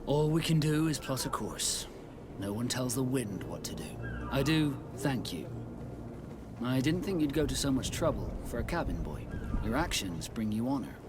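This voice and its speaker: Salty, expressive sea captain acted by a man. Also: medium pitch, very noisy, lightly acted. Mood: grateful, composed. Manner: measured, salty nautical turns of phrase. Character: proud, wry seafaring ship captain, adult man. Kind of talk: video game cutscene acting.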